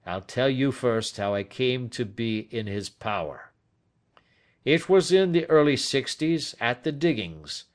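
A man voicing a weary, bitter, dying old man in an audiobook, speaking with a heavy, emphatic, drawn-out manner. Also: mid-range voice, lively intonation, pitched above the performer's own voice, lightly acted. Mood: confessional. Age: older. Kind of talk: audiobook character acting.

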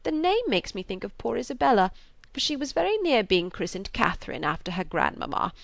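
A woman voicing a gentle, fretful, doting old father in an audiobook, plaintive and hesitant, rambling along. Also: medium pitch, big pitch swings, a little fast, gravelly, no echo, voice pushed up. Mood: wistful, rambling. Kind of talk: audiobook character reading of a classic novel.

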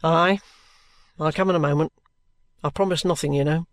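A man's voice: a little high, fairly even intonation, normal speed, close to an everyday voice. A man voicing a stiff, grave, guarded trooper in a storybook reading. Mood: grave, wary. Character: stiff, grave, wary male ex-soldier trooper.

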